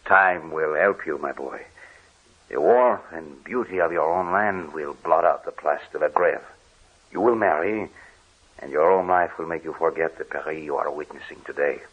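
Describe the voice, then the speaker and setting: An actor playing a kindly, fatherly older priest who speaks gently, in 1940s radio drama style. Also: a bass voice, moderate pace, slightly rough, full character voice. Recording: dull old radio recording.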